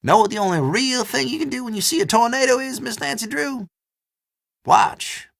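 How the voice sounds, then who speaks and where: Game role: chatty old country shopkeeper, high creaky voice, dry recording, teasing and folksy. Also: male voice, moderate pace, fairly bright tone, no echo, full character voice. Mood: scornful, knowing. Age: older. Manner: folksy drawl, colloquial, teasing. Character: folksy, chatty old small-town storekeeper, a man. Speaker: small-town general store keeper. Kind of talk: video game character dialogue.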